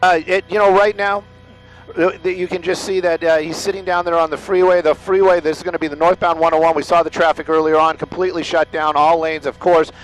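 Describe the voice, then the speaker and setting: An American man working as an aerial news reporter, giving halting, repetitive, ad-libbed live commentary on breaking news. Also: fairly high pitch, flat delivery, rough recording.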